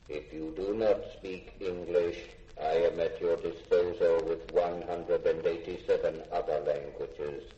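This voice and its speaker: A literal-minded robot servant in 1950s radio drama. The delivery is very slow, measured and impassive, with a formal politeness. The sound is echoey and dull, with some hiss of an old recording. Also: male voice, low-pitched, warm tone.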